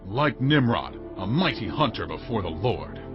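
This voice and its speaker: A man's voice: fairly low pitch, sing-song, normal speed. An actor playing a bold ancient man, declaiming rousingly to his people in a scripture drama. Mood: proud. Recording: dull audio with loud music behind.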